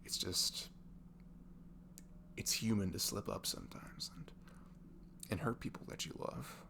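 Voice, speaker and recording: A young man softly leaving an intimate, improvised comfort message in ASMR style, tender and reassuring, murmuring and often slipping into a breathy whisper. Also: on the low side, unhurried. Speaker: amateur audio performer playing a comforting friend. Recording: clearly noisy home recording with hum.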